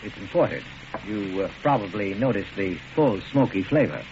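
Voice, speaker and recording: An actor playing a polite, coolly murderous doctor obsessed with research, speaking with genteel precision in an old radio drama. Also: male voice, fairly low pitch, expressive, normal speed, a little mellow, lightly acted. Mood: politely compliant. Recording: muffled, noisy old radio recording.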